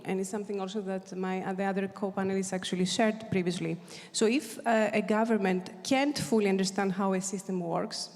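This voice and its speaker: A woman panelist delivers prepared points at a policy conference, often pausing with fillers and restarting phrases. Her voice sits at an ordinary pitch and carries a long reverb from the hall. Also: a little mellow. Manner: hesitant, many fillers and restarts.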